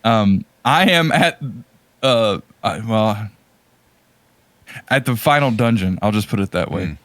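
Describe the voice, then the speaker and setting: Man, podcast co-host, slightly low pitch, halting and rambling, casual gamer chat, dry close sound. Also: animated, fairly bright tone, no room sound. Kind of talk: casual chat about video games.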